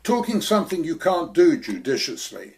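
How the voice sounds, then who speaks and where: An actor playing a smooth, dangerous fat villain who drawls and chuckles pompously in an amateur audio play. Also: male voice, fairly high pitch, very animated, moderate pace. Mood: smooth menace.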